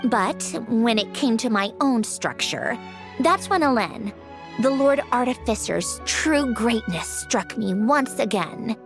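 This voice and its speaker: A woman's voice: fairly high pitch, animated, takes it slowly, over music. A woman voicing a proud, sardonic female puppet inventor in a video game cutscene, explaining things in a dry, cool, slightly haughty way. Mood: subdued.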